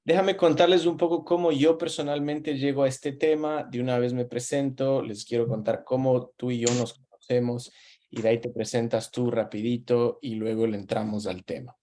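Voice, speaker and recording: A man in his thirties from Ecuador with an Ecuadorian Spanish accent, hosting a relaxed conversational interview and talking with easy pauses and restarts. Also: medium pitch. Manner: relaxed, talks with frequent fillers and restarts. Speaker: host.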